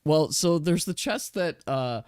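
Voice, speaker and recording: A man acts as game master in a dry, close-sounding recording. He talks slowly with a very varied pitch, halting as he improvises and thinks aloud. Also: lively intonation, darkish tone, clear, resonant tone, no room sound. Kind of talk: casual improvised tabletop game narration.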